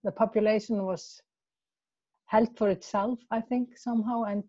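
A woman from Iceland with an Icelandic accent, speaking in a casual discussion over a video call, hesitant, repeating words and laughing softly. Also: mid-range voice, fairly even intonation, normal speed, no echo, dull audio. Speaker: class participant.

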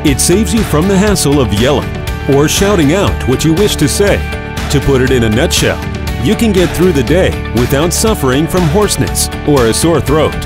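A man's voice: fairly high pitch, expressive, moderate pace. A man giving a scripted, step-by-step instructional narration for a product demonstration. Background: background music.

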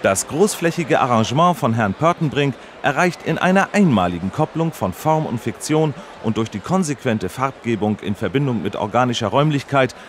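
A man's voice: mid-range voice, expressive, fairly quick, hardly any pauses, slight background noise, close to an everyday voice. Earnest male radio reporter, played by an actor. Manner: formal, earnest, wordy. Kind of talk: parody live news report.

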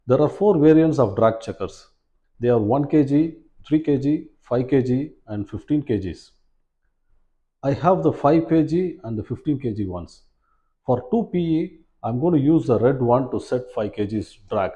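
A man in his forties presenting an instructional fishing demonstration in a measured, step-by-step way, recorded indoors. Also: mid-range voice, dark tone. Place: small plain room indoors, seated at a table.

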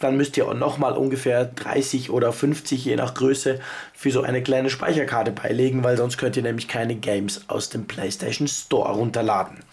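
A man, hoarse from a cold, casually reviewing a handheld gadget and sounding a little sarcastic about its maker. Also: medium pitch, a little mellow. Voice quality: gravelly. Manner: chatty, offhand, mildly sarcastic about the maker. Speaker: tech video host. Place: indoors at a table, off camera.

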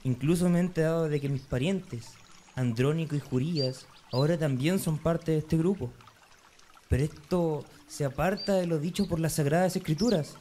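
A man's voice: fairly high pitch, normal speed, mellow, a little hiss or hum, lightly acted. A man acting as a zealous, dutiful young Pharisee, speaking earnestly and resolutely in an amateur radio drama. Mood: troubled, resolute.

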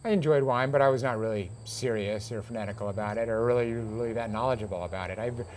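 A middle-aged American man, a farmer and winemaker, explains his work casually and haltingly in an interview. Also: lowish voice, a little mellow. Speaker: grape grower and winemaker being interviewed. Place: outdoor covered porch beside a pond. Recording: faint outdoor insect noise.

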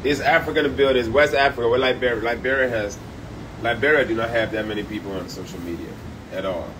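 A man livestreaming from a high-rise room by the beach on a phone at arm's length, talking loud, profane and animated. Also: fast talker, noisy background. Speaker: comedian livestreaming. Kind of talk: casual live stream chat. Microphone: phone held at arm's length.

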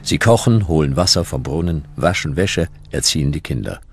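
A male narrator tells history from a script. His voice is very deep, with lively rises and falls. The delivery is measured and dramatic, and music plays clearly behind him. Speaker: documentary narrator.

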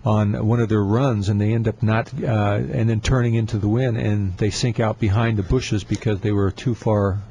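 A man co-hosting a talk show, explaining things in a measured way with frequent fillers. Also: lowish voice, steady tone, mellow, a little hiss or hum. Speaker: podcast co-host. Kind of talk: casual instructional chat.

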